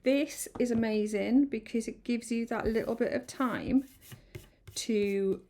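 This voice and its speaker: A woman chatting through a card-making tutorial, a little croaky from hay fever, rambling and apologetic with many ums. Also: on the high side, measured pace, mellow. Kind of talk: casual craft tutorial. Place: home craft room.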